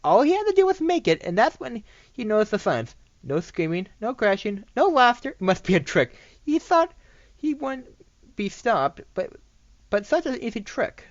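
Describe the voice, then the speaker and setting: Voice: medium pitch, big pitch swings, normal speed, dry recording. An amateur narrator reading fan fiction aloud, often stumbling and repeating phrases. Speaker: story narrator. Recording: dull, dry home recording.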